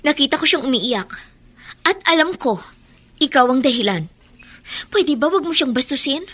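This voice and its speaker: A woman's voice: sits high, animated, normal speed, fairly bright tone. A woman playing a protective, fiery young daughter in a radio drama, indignant and emotional, with a Filipino accent. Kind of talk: Tagalog radio drama acting.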